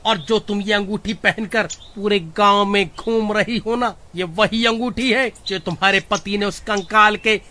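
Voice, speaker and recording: A man voicing an excitable, whiny village man in a horror cartoon. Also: sits high, unhurried, hardly any pauses. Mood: angry, irritated. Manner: whiny, exclaiming, agitated. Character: panicky, irritable village man, pleading and excitable. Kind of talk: animated story acting. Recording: faint music behind the voice.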